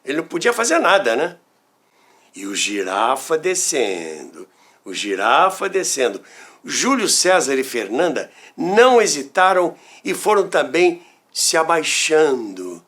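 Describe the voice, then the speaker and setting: A man with a Brazilian accent narrating scripted stories about radio history as a host, in a deliberate, dramatic storytelling manner. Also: fairly high pitch, sing-song, fairly bright tone.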